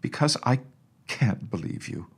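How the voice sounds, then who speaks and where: Man playing a stern, skeptical nursemaid, animated. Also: mid-range voice, unhurried, husky, voice pushed up. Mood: exasperated. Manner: scolding, dismissive, emphatic. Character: stern, skeptical children's nurse, a grown woman. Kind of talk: acted storybook dialogue.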